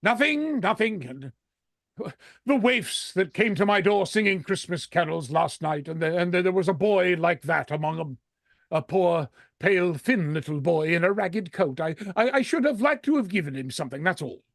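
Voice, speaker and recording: A man playing a frightened, regretful old miser in a radio drama, stammering and repeating his words in a creaky voice. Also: high-pitched, lively intonation, moderate pace, no echo, clean studio sound.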